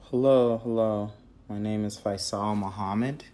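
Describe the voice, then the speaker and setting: A man from Ghana giving an earnest, measured personal reflection. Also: lowish voice, fairly even intonation, dark tone, slight background noise. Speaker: guest.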